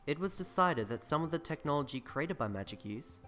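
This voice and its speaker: A man narrating fantasy lore for a video game cutscene in a measured, grave storytelling manner. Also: on the high side, lively intonation, a bit bright, faint music behind. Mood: solemn.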